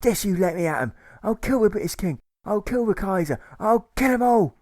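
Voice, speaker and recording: A man's voice: high voice, lively intonation, normal speed, a little mellow, no echo, clean studio sound, pitched above the performer's own voice. A man voicing a fiery, boastful male agitator in an audiobook, making belligerent threats in slangy speech. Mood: furious bragging.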